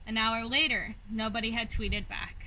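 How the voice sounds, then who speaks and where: A woman narrates a picture book for young children in an expressive storytelling style. Her voice is high and bright. Clear noise sits behind the reading. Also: normal speed, rough recording. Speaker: read-aloud storyteller.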